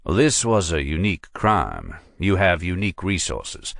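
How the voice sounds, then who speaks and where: A man voicing a suave, unflappable federal agent who talks in a creamy, dry, measured drawl. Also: low voice, big pitch swings, creaky, fairly bright tone, dry recording, exaggerated voice.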